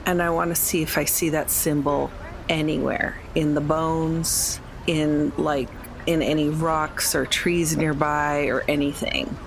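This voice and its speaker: A woman's voice: low voice, level tone, measured pace, gravelly, a little hiss or hum. A woman playing a tabletop game, saying plainly and directly what her character wants to do. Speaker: player. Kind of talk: casual game talk.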